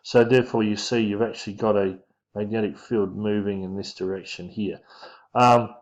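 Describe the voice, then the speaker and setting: A man giving an informal physics lesson, talking through diagrams in a casual, halting way as he thinks aloud. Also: on the low side, steady tone, a little mellow. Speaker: physics teacher. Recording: dull lo-fi microphone sound.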